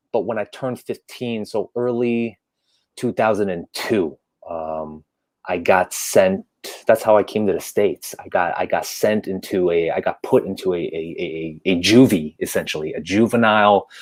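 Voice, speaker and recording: Male guest, 30s, raised in Hong Kong, Cantonese native; lower voice, halting casual delivery. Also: steady tone, a little mellow. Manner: halting, restarts often, laughs between stories. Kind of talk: casual interview chat.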